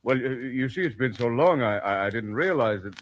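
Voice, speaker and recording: An actor playing a bashful middle-aged American bachelor trader in an old-time radio drama, with an American accent. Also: male voice, medium pitch, expressive, a little fast, a little hiss or hum, close to an everyday voice. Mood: flustered, earnest. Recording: muffled old radio recording.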